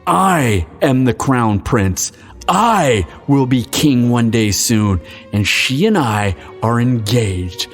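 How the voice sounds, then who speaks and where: A man's voice: mid-range voice, lively intonation, slow, soft background music. A man playing a proud, indignant elder crown prince in a fantasy audio drama, speaking formally and haughtily. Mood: stern, proud.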